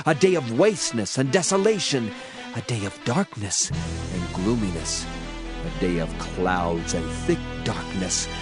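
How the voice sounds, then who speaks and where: A man giving a solemn, dramatized audio Bible reading with declamatory emphasis. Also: mid-range voice. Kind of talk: dramatized scripture reading in archaic English. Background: background music.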